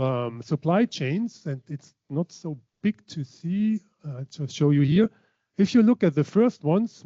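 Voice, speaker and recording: A man lectures from slides at a conference, pausing often on fillers and restarting his sentences. His voice sits a little high for a man, yet it sounds mellow and dark. The sound is dry and slightly muffled. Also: no echo. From Germany. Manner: hesitant, many fillers and restarts. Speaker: keynote speaker.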